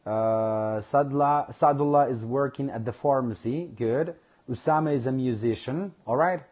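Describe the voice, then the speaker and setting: Husky man teaching English slowly. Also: mid-range voice, darkish tone. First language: Kurdish. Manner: slow, repeats phrases for learners. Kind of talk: live online English lesson.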